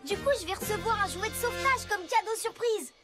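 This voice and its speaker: Very high, eager little boy motorbike. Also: brisk. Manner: eager, exclamatory. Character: excitable heroic young boy rescue motorbike. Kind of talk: French children's cartoon dubbing. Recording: loud music behind the voice.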